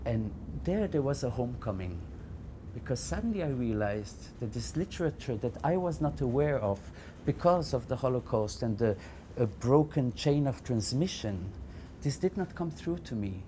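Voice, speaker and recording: A middle-aged man with a warm, mellow voice recounts his own story. He talks reflectively and haltingly, often doubling back on words. A faint low rumble hums beneath his voice. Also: very animated. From Belgium. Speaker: interviewee, founder of a Yiddish library. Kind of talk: personal testimony to camera.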